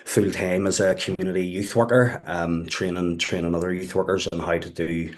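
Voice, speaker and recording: A man's voice: sits low, little pitch movement, normal speed. A man from West Belfast with a Belfast accent, speaking as a guest at an online webinar, hesitant and full of fillers and restarts. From West Belfast, Northern Ireland. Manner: hesitant, many fillers and restarts, says "wee bit". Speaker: webinar guest speaker, community youth worker. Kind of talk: casual spoken talk.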